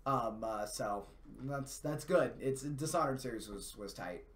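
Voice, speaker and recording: A man's voice: medium pitch, a little slow. A man, co-hosting a gaming live stream in casual, rambling, enthusiastic and slangy chat as he answers viewers. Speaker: co-host of a video game live stream. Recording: echoey room.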